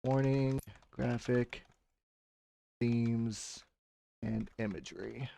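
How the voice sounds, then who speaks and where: A man's voice: mid-range voice, drawn-out words, creaky, warm tone. A man chatting casually as a game streamer, rambling and correcting himself in an informal way. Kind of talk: casual watchalong stream chat.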